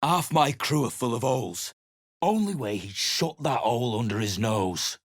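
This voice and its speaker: A middle-aged man voicing a surly, weary male mercenary adventurer in a video game, talking in a gruff, blunt and sarcastic way. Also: mid-range voice, lively intonation, normal speed, slight background noise, close to an everyday voice. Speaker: game character.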